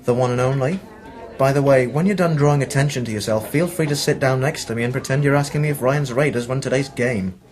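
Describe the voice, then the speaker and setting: A man's voice: mid-range voice, fast talker, darkish tone, natural voice. A man playing a sarcastic, defiant newspaper reporter from the 1940s in an amateur radio play, dry and sardonic.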